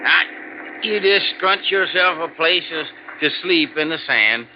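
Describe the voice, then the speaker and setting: A man's voice: fairly high pitch, animated, unhurried, a little hiss or hum. An actor playing a folksy, stubborn old desert fisherman in a 1950s radio drama, drawling and hesitant, heard through a dull old recording.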